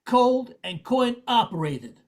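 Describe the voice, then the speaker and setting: A hard-boiled radio detective, acted by an older man. He has a Brooklyn accent and a gruff, cynical manner. His pitch moves widely as he narrates his weary observations. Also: animated, takes it slowly, full character voice. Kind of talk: radio play acting.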